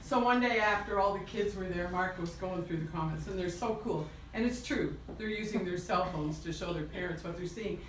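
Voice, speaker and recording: A woman chiming in from the audience with a lively, laughing anecdote, recorded on a phone in a parlor. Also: lowish voice, a little fast, hardly any pauses, slight background noise. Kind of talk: casual anecdote. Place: parlor of an old house, indoors. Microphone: phone camera in the audience. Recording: very echoey room, distant phone recording.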